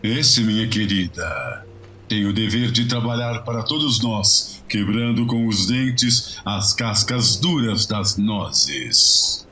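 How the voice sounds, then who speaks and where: A man playing a mysterious old godfather and toymaker in an audio drama, speaking in a hoarse, low, murmuring way. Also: lively intonation, slight background noise, exaggerated voice. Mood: kindly. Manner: slow, hushed, murmuring. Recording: audio drama with music behind.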